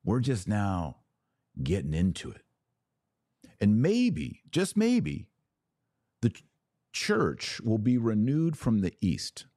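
A man with a deep voice speaks in a dry, close recording. He delivers an unscripted monologue as a religious commentator, emphatic and given to repeating phrases for weight. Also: wildly swooping pitch, takes it slowly, pauses often, warm tone, no room sound. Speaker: podcast host and religious commentator.

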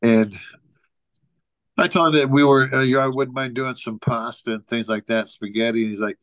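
A man's voice: medium pitch, fairly even intonation, moderate pace, a bit bright, no room sound. A man co-hosting a casual talk show, telling an anecdote in a rambling way with lots of "you know" and frequent chuckles. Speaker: podcast co-host.

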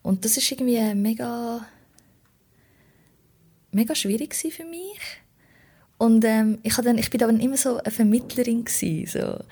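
A woman's voice: on the high side, unhurried, pauses often, mellow, breathy edge. A woman with a Swiss German accent giving an informal, reflective personal account as an interview guest, searching for her words. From Switzerland. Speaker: podcast guest, political candidate.

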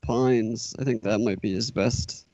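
A man's voice: lowish voice, fairly even intonation, measured pace, darkish tone, dry recording, low-quality recording. A man co-hosting a casual podcast, chiming in with wry remarks.

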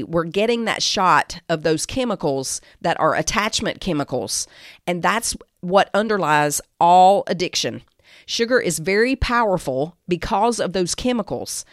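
A woman's voice: lowish voice, dry recording, studio quality. A woman giving a devotional teaching talk, speaking emphatically and exhorting, repeating phrases for weight. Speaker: Bible teacher and podcast host.

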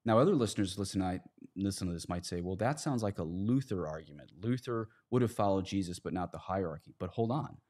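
Podcast host guy, lowish voice, emphatic, argues with rhetorical questions. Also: expressive, quick pace, few pauses, warm tone. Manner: emphatic, rhetorical, acts out quotes. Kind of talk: conversational podcast discussion, argumentative.

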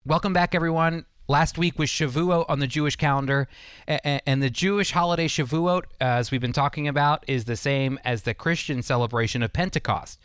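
A man's voice: fairly high pitch, a little fast, fairly bright tone, smooth, dry recording, clean studio sound. A man hosting a devotional radio program, teaching scripture earnestly with emphatic phrasing and rhetorical questions. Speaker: radio Bible teacher and host.

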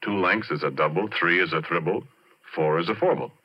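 A real deep easygoing oil rig worker, folksy slangy talk, old muffled 40s radio show. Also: male voice, fairly quick, slightly rough. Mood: confiding narration. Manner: folksy, colloquial. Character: easygoing rough-and-ready oil driller telling an eerie tale. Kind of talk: 1940s radio drama acting. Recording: muffled 1940s radio recording.

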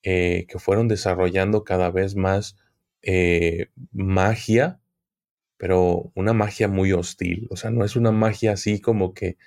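A man, a guest on a podcast, explaining at length in a measured, hesitant way. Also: sits low, steady tone, gravelly, darkish tone. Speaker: guest occult expert. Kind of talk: podcast conversation.

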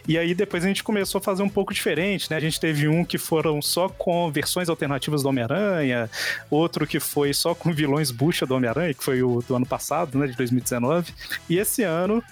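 Man, brazilian accent, podcast host, chatty, laughing, somewhat high. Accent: Brazilian Portuguese. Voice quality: chatty and laughing, lots of fillers. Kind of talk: casual podcast chat.

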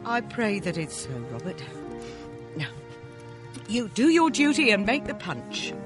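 An outspoken working-class wife in a radio drama. Her fairly high voice rises and falls dramatically, loving yet sharp-tongued, with faint music behind. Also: big pitch swings, unhurried. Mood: caring. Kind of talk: family radio drama acting.

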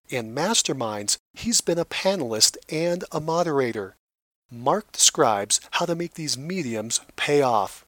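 A man with a slightly high voice works as a podcast host. He presents introductions and interview questions. The sound is dry and close, with little room in it. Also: a bit bright, no room sound.

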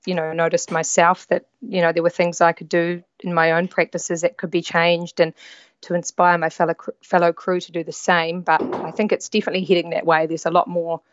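Relaxed New Zealand woman on call. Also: lowish voice, fairly quick, no echo. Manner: easygoing, relaxed, with hesitations. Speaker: guest. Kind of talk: remote interview over a call. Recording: dry, slightly dull call audio.

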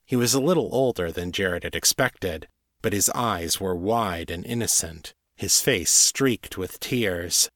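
A man's voice: low voice, a little slow, fairly bright tone, no echo, studio quality. A man narrating in a scripted style, as the host of a fiction podcast. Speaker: author narrating own audiobook podcast and host.

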